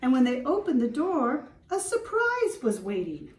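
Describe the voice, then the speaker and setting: Slow-paced woman teacher reading a storybook. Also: high voice, huge pitch swings. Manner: simple explanatory teaching, pausing to ask and answer questions. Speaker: schoolteacher reading to class. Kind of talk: children's storybook read-aloud with questions. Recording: roomy echo, distant microphone.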